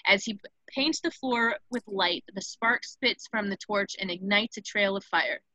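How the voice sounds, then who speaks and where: Bright-voiced woman narrating a script. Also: mid-range voice, moderate pace, no room sound, rough recording. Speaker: narrator reading stage directions.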